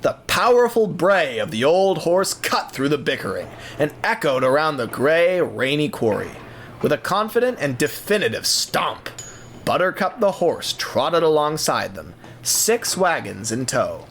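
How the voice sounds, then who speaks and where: A man's voice: a little high, very animated, measured pace, fairly bright tone, a little hiss or hum. A man narrating an audio drama in a scripted, dramatic storybook style.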